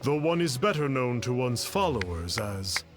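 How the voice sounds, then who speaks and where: An actor playing a pompous, grandiose self-styled immortal master in a video game, speaking in a formal, archaic, theatrical manner. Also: male voice, medium pitch, big pitch swings, normal speed, close to an everyday voice. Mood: grand, self-important. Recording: game music behind the voice.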